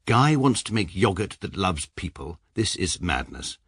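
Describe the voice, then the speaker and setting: A dry, pompous barrister. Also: male voice, deep voice, big pitch swings, fairly bright tone, breathy edge, no echo, exaggerated voice. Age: middle-aged. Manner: dry, measured, sarcastic. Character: short, pompous, detached middle-aged male barrister. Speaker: barrister narrating his own story. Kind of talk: radio comedy drama narration.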